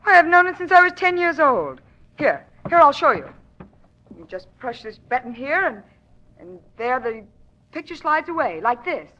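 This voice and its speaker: Brash, high-spirited society daughter. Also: female voice, sing-song, normal speed, sharp tone, heavily acted. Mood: breezy. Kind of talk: 1940s radio acting. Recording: dull 1940s radio recording.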